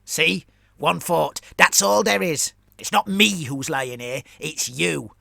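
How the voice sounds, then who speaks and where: A man putting on a goblin scout boss with a higher, gravelly, sharp voice. He mocks with bravado that barely covers his fear. The sound is dry and close. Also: animated, slow pace, no echo, full character voice. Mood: mocking. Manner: mocking, nervous bravado. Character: scared male goblin scout boss, mocking.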